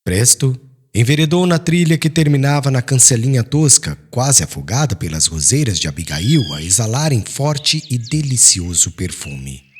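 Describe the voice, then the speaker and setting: A man's voice: medium pitch, slight background noise. A man reading an audiobook as a solemn, formal and dramatic literary narration.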